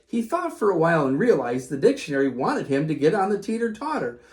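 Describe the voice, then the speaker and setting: A woman's voice: on the low side, big pitch swings, brisk, darkish tone. A woman narrating a read-aloud storybook for young children.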